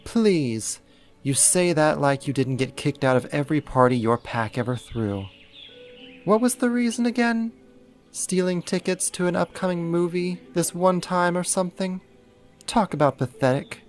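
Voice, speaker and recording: A man's voice: a little high, lively intonation, normal speed, soft background music, close to an everyday voice. A man playing a slight, possessive, vain vampire in a roleplay audio drama, sarcastic, haughty and fussy. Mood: scornful. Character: puny snarky jealous male vampire, possessive and vain.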